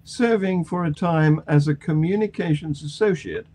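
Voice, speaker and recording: A man hosting a news discussion on a video call, with a halting delivery. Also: on the high side, a little mellow. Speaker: panel show host. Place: indoor home room on a video call.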